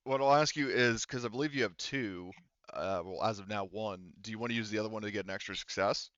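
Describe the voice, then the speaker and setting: A man running a tabletop roleplaying game as game master, explaining things casually in a halting way. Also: on the low side, fairly bright tone, no echo, dull audio.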